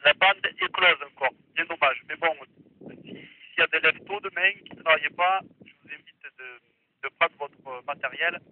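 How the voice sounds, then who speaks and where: A man's voice: a little high, normal speed. A man chatting casually as a radio operator, heard through a walkie-talkie transmission. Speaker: radio operator, remote contact. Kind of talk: casual two-way radio chat. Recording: narrow, tinny walkie-talkie radio link.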